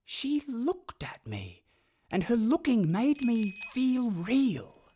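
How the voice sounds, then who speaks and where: A man's voice: very high-pitched, wildly swooping pitch, slow pace, dark tone, breathy edge, heavily acted. An actor playing a pompous, dryly ironic elderly male composer narrating his memories in a radio play. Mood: wistful, wry.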